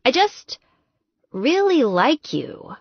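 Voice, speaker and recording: A woman's voice: high-pitched, wildly swooping pitch, slow pace, slightly rough, no room sound, dull audio, pitched above the performer's own voice, heavily acted. A woman voicing a lovesick, clingy young man in an audiobook, earnest, pleading and gushing. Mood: needy, pleading.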